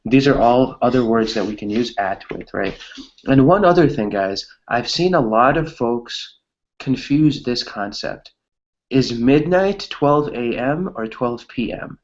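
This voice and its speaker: Male English teacher, slightly low, muffled audio. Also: animated, measured pace. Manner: encouraging, ends phrases with tag questions. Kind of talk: online group grammar lesson, explaining with examples.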